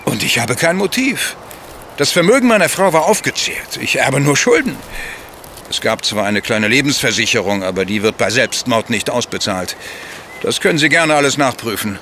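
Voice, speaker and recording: A gentleman widower under suspicion in a radio play. His voice is middle-pitched and sharp, his manner formal, insistent and defensive. There is faint outdoor ambience behind him. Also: lively intonation, moderate pace, slight background noise. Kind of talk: German radio play acting.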